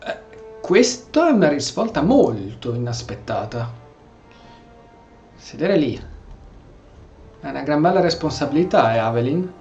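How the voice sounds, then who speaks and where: A man's voice: a little high, sing-song, normal speed, long pauses, darkish tone, soft background music. An Italian man giving casual commentary as he plays a video game. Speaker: gamer, video host. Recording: dull, roomy sound with faint noise.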